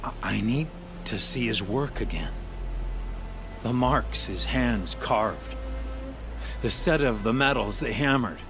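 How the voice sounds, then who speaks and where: An actor playing a gruff, bereaved craftsman in a video game, speaking in a blunt, heavy, halting way. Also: mid-range voice, animated, music in the background. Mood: bitter grief.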